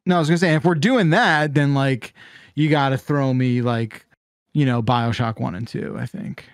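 A man's voice: mid-range voice, normal speed, no echo. A man hosting a podcast, chatting casually into a close microphone. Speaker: podcast host. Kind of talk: casual chat. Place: indoor room, close studio mic. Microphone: microphone on boom arm, headset.

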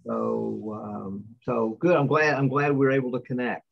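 A middle-aged man speaking from his office over a video call, relaxed, often affirming with short replies. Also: medium pitch, moderate pace, creaky, slightly rough, dull audio. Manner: relaxed, affirming with frequent "right". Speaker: recycling company representative. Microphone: webcam on video call.

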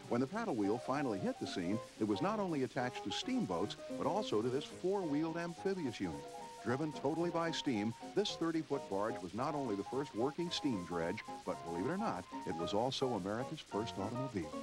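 A man narrating a scripted feature segment with a lively storytelling delivery. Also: mid-range voice, a little fast, poor audio. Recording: narration over loud music.

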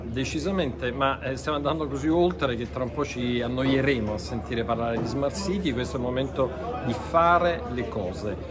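A middle-aged man, a company executive, gives a measured, explanatory interview at a busy exhibition hall. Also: mid-range voice. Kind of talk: trade fair interview. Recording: chattering crowd behind.

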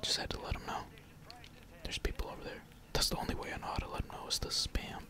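A gamer whispering slangy, laid-back ASMR commentary while playing, with casual swearing. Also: quick pace. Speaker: gaming streamer.

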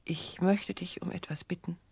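A woman's voice: lowish voice, fairly even intonation, normal speed, creaky, darkish tone, slightly rough, whispered, full character voice. A woman playing a self-sacrificing, embittered grown daughter in a radio play, with a restrained manner. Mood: weary, pleading.

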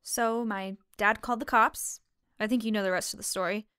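Actress as young small-town waitress, weary and defensive, halting matter-of-fact talk, audio drama, close dry sound. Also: female voice, mid-range voice, steady tone, pushed and bright, clear, resonant tone, no room sound, lightly acted. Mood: subdued, explaining. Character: guarded young waitress grieving her missing sister, weary. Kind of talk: fiction podcast drama acting.

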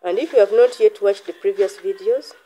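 Woman, young adult, mellow warm tone, clear step-by-step how-to, phone-recorded outdoors. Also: medium pitch, a little fast, rough recording. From Uganda. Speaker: channel host. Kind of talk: how-to voice-over.

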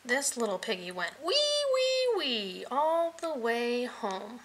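A woman reading a children's picture book aloud in an expressive, playful way, adding vocal sound effects. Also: a little high, slow pace, slight background noise.

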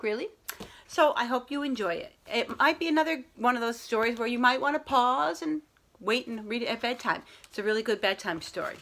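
A woman's voice: on the high side, pushed and bright. A middle-aged woman reading a picture book aloud to children, expressively, drawing out some words. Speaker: read-aloud host. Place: room at home, indoors.

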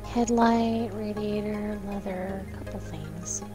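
A woman talks at a relaxed, slow pace through a co-op gameplay video as co-host. Her style is casual and conversational, with music clearly audible behind her. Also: mid-range voice.

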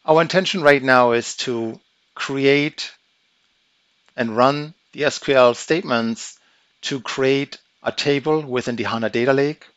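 A man giving a methodical, step-by-step walkthrough of a software demo, explaining each action as he goes. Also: medium pitch, no room sound. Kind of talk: screen-recorded software walkthrough. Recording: dry, slightly dull audio.

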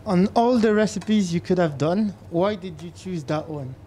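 A man's voice: high voice, normal speed, mellow, slight background noise. A young man born in Haiti, presenting a cooking show and asking short, curious questions. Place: large teaching kitchen studio.

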